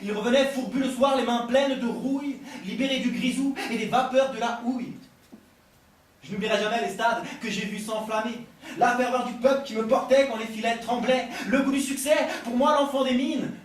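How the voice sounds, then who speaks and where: Male slam poet, very high voice, rhythmic rhymed declamation, strongly echoing live room. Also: steady tone, moderate pace, distant mic. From France. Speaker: slam poet at a poetry competition. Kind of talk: slam poetry performance, first-person biographical verse. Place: live poetry event in Paris. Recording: roomy live event captured from afar, faint noise.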